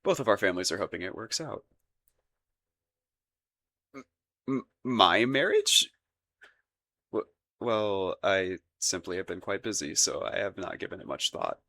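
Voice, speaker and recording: Male actor as awkward young crown prince, lowish voice, nervous stammer, rambling courtly speech, lovestruck, romantic audio roleplay. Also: expressive, measured pace, gravelly, pauses often, sharp tone, full character voice. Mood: awkward, bashful.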